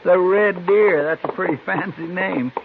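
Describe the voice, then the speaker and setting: Creaky, high-pitched folksy sidekick. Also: male voice, a little slow. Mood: easygoing, curious. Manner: folksy, deferential. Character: folksy, loyal, simple deputy sidekick. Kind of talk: old-time radio western acting. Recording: noisy, muffled old radio broadcast recording.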